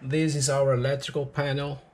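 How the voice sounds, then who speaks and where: A man's voice: medium pitch, level tone, darkish tone. A man with a Brazilian Portuguese accent, a non-native English speaker, showing his catamaran on a handheld camera walkthrough. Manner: slow, halting, proud, shows each item and lists features. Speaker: boat owner giving a tour. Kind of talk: walkthrough tour of a sailing catamaran. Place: on board a catamaran, on deck and in the cabin. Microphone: handheld action camera.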